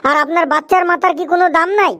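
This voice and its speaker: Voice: very high-pitched, steady tone, racing. A performer voicing a talkative, earnest young cartoon friend in an eastern Bengali dialect, speaking in an emphatic, colloquial, preachy way. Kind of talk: cartoon dialogue with moral advice.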